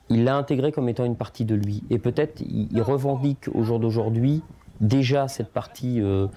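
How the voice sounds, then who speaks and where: A man's voice: fairly low pitch, warm tone. A middle-aged man born in Portugal, speaking reflectively in an interview with many hesitations and restarts. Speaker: documentary interviewee.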